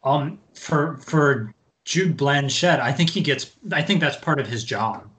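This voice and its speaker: Halting man, medium pitch. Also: lively intonation, a bit bright. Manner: hesitant, halting. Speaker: podcast guest. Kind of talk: casual chat.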